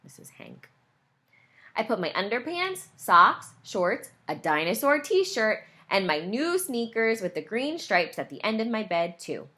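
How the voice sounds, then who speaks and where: A woman's voice: a little high, very animated, unhurried, bright, forceful tone. A woman teacher reading a picture book aloud to young children, explaining and asking questions along the way.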